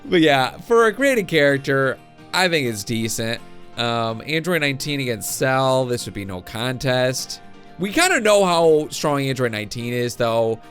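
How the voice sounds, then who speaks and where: Lively young man musing over a game. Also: mid-range voice, normal speed. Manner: musing, unsure, chuckles often. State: getting over a cold, voice a bit off. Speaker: gaming video host. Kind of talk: casual gameplay commentary. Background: game music.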